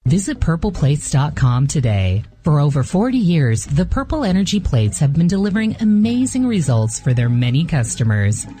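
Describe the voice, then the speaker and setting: An announcer reading a radio ad as an enthusiastic sales pitch. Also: very animated, moderate pace, few pauses, dark tone, slight background noise. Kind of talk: scripted radio ad read. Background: music.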